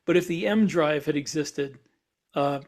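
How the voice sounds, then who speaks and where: A man co-hosting a casual podcast, explaining in a halting way and repeating words as he works out his thoughts. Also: a little high, a little mellow. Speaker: podcast co-host and science fiction author.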